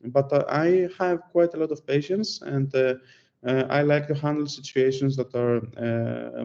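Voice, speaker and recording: A man with a Greek accent speaks in a mellow, warm tone. He tells a story in an easygoing, self-deprecating way, the way a conference speaker does. The recording sounds a little dull and lo-fi. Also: medium pitch. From Greece. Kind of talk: presentation.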